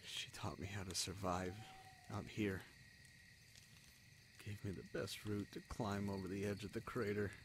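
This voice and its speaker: Low-voiced, wounded young exiled prince, acted by a man. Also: level tone, background noise. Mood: sombre. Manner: halting, emotional delivery with heavy pauses. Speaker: voice actor in an audio roleplay. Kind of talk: fantasy audio drama monologue.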